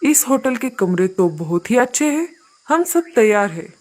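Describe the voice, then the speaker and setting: A woman's voice: on the high side, very animated, unhurried, a little mellow. Lady doing a fussy male traveller bird, businesslike, full of questions, kids cartoon. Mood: questioning. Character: demanding male migratory bird leading his travelling flock. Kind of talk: children's cartoon story dialogue.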